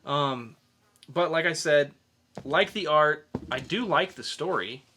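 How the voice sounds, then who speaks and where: A man's voice: on the high side, slow pace, sharp tone, a little hiss or hum. A man hosting a podcast, casually reviewing in an informal, rambling way with some swearing. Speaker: podcast host and comic reviewer.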